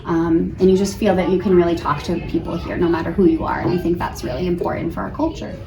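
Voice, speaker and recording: A woman's voice: fairly low pitch, fast talker, creaky, over music. A woman from New Hampshire with an American accent, a teacher giving chatty, earnest answers in a casual interview. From New Hampshire, United States. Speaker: elementary school teacher being interviewed. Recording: roomy, distant mic, faint background noise.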